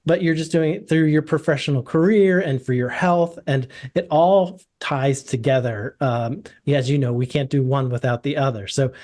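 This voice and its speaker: A man hosting a personal finance interview, asking questions in an easygoing way with many pauses and restarts. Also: on the high side, darkish tone. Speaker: podcast host, financial planner. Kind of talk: conversational interview.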